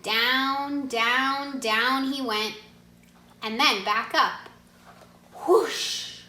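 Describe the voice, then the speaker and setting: Bright, unhurried woman reading a children's storybook. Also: high-pitched, a little hiss or hum. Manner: expressive, instructive, pauses to question young listeners. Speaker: read-aloud narrator, teacher asking listeners questions. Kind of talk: children's storybook read-aloud with questions to listeners. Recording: echoey room.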